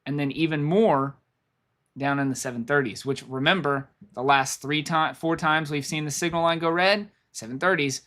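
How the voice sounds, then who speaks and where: Hoarse man rambling through market levels. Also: on the high side, normal speed, a bit bright. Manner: rambling, repeats himself, thinks aloud. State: losing his voice. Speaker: stock market streamer. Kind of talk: live trading commentary.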